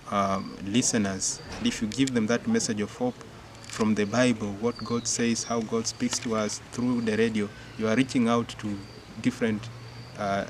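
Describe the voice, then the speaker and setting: A young man, a Jesuit novice, speaking earnestly and in measured fashion about his formation, with frequent fillers. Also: fairly low pitch. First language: not English-speaking only; English speaker. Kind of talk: reflective interview testimony. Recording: clear insect and cricket noise behind.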